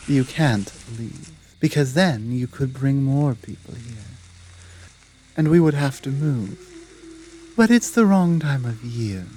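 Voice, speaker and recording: A woman playing a stern, principled female werewolf pack leader in an ASMR audio drama, protective and dominant, speaking in a measured, deliberate way. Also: very deep voice, warm tone, slight background noise, full character voice, poor audio. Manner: measured, firm, deliberate, with pauses.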